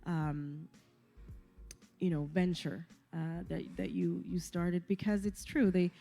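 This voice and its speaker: A woman hosts a talk show with a somewhat low, mellow voice. She affirms her guest and repeats words as she gathers thoughts. Faint music plays underneath. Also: slow. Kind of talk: interview conversation.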